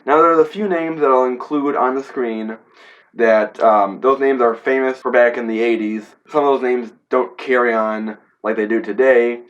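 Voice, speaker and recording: Male teacher type, stuffed up with a cold, loose and rambling delivery with lots of "you know" and "uh", medium pitch, on a muffled, lo-fi recording. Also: fairly even intonation, moderate pace. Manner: rambling, with many fillers and playful asides. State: congested from a cold. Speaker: history teacher reviewing a film. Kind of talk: casual classroom-style movie review.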